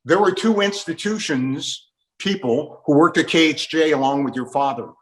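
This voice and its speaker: A man's voice: on the high side, normal speed, rough recording. A man hosting a reflective interview, asking guests for their memories. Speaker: interview host. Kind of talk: radio tribute interview.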